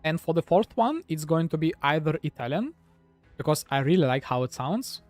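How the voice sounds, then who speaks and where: A man's voice: on the high side, sing-song, moderate pace, darkish tone, dry recording, soft background music. A man from Russia with a Russian accent, a gaming streamer chatting casually with viewers in a rambling way, full of "like" fillers. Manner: rambling, heavy use of "like" fillers.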